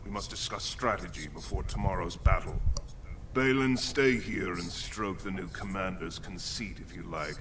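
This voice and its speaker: An actor playing an arrogant dwarven prince and elder brother in a fantasy video game, haughty and sarcastic. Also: male voice, low-pitched, moderate pace, noisy background, close to an everyday voice. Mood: scornful. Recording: game dialogue under music.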